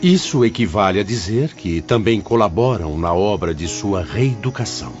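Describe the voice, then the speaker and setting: A man's voice: on the low side, expressive, moderate pace, darkish tone, close to an everyday voice. A Brazilian man playing a wise, solemn spirit mentor, teaching reverently in an audiobook drama. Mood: instructive. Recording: slightly dull audio over music.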